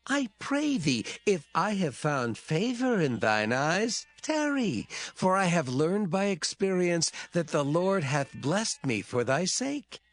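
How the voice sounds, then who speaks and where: Man acting a swooping, slow, wheedling biblical patriarch. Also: high voice, big pitch swings, exaggerated voice. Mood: entreating. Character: Laban, wheedling biblical patriarch and master of flocks. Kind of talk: dramatised audio Bible acting.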